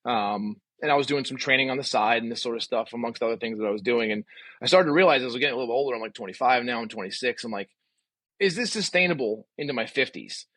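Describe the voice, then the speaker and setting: A man's voice: medium pitch, animated, fast talker, fairly bright tone, no echo. A man in his forties talking casually and emphatically as a podcast guest, voicing strong opinions and often asking rhetorical questions. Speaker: podcast guest, physical therapist.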